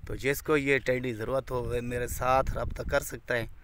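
A man selling goats in a casual farm vlog, speaking slowly and calling viewers "my dear brother". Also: steady tone, slight background noise. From Pakistan. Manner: slow, warm-hearted salesman's pitch, calls viewers "my dear brother". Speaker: goat farmer selling goats. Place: outdoors on a rural brick-paved farmyard. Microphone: phone camera held by the speaker. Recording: outdoor phone audio with goats bleating.

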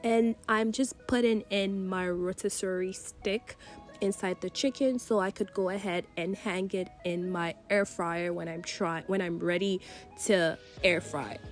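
A woman's voice: medium pitch, unhurried, faint music behind. A woman from Nigeria, congested from a cold, talking casually through a cooking voice-over with lots of fillers. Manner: chatty, relaxed, many fillers like "you know". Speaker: cooking channel host. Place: voice-over recorded separately from the kitchen footage.

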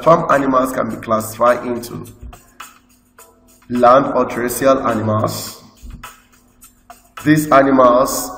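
A man with a Nigerian English accent, teaching a school lesson by slowly reading out lists from slides. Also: mid-range voice, fairly bright tone. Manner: slow, list-like reading with explanations. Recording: echoey room with long reverb, faint music.

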